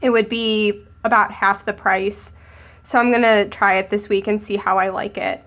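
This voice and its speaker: A young woman with a cold, a little hoarse and sniffly, chatting casually as she narrates decorating her planner. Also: medium pitch, little pitch movement, moderate pace, fairly bright tone. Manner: chatty, upbeat, thinking aloud while crafting. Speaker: planner vlogger.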